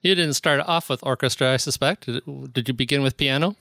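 A man's voice: mid-range voice, a little fast, vocal fry, bright, forceful tone, dry recording, clean studio sound. A man hosting an interview show, asking short, friendly questions in a casual way. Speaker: podcast host.

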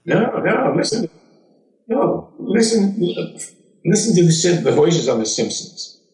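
An older American man, a voice actor, tells personal stories in an emphatic, animated way with dramatic pauses. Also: sits high. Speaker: voice actor and former radio announcer. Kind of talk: autobiographical storytelling to an audience. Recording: echoey room, distant mic.

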